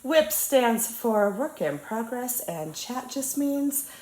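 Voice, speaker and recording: A woman's voice: fairly high pitch, sing-song, slow, darkish tone. Bubbly woman with a stuffy cold. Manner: bubbly, chatty, lots of ums and uhs. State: nasal from a cold. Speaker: diamond painting channel host. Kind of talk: casual crafting chat. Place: indoor crafting table. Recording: roomy echo.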